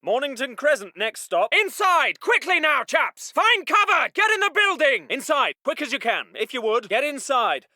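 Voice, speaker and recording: An actor playing a polite, plucky British Second World War army medic in a video game, with clipped, old-fashioned genteel phrasing. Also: male voice, very high-pitched, expressive, moderate pace, few pauses, bright, forceful tone, no echo, studio quality, full character voice. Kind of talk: military video game unit voice lines.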